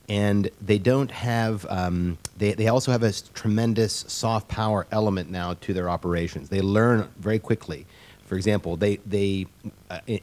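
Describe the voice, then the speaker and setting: A man on a panel answering a question, speaking in a halting, explanatory way with many restarts. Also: low-pitched, darkish tone.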